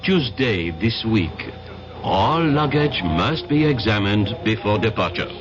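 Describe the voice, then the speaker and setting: An actor playing a courteous but unyielding French police inspector in a 1970s radio drama, with a French accent and formal, deliberately polite, hesitant phrasing. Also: male voice, on the low side, animated, music in the background. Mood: polite, official. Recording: dull, noisy old radio broadcast.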